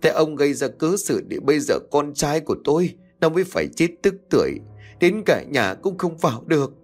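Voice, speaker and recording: Man doing a grieving old mother, tearful, broken delivery, raised pitch, lively swings, frightened, accusing. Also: fairly quick, breathy edge, soft background music.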